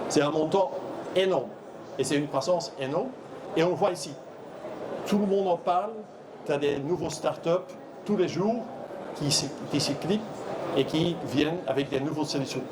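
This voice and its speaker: A middle-aged man from Belgium, not a native French speaker, giving a halting trade show talk full of fillers and restarts. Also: on the high side. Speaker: conference speaker. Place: trade fair hall. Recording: noisy trade fair hall.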